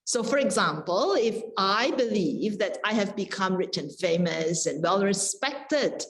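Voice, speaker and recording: Slow, deliberate woman panelist. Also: medium pitch, animated, rough recording. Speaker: panelist, Buddhist scholar. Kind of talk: panel talk, explanatory.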